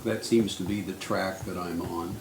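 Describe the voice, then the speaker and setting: An older man speaking as a marriage counselor in a casual panel discussion, thoughtful and measured with frequent restarts. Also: low-pitched, fairly even intonation, gravelly. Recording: echoey room, faint noise.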